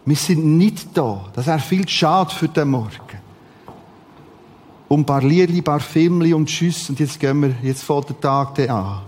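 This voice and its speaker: A man with a Swiss German accent preaching a sermon in short, repeated, emphatic phrases, with a husky voice. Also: on the high side, normal speed, a little mellow, a little hiss or hum.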